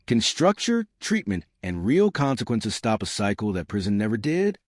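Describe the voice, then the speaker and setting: A man reading dramatic scripted documentary narration in a measured, portentous way. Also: on the low side, very animated, no echo, studio quality.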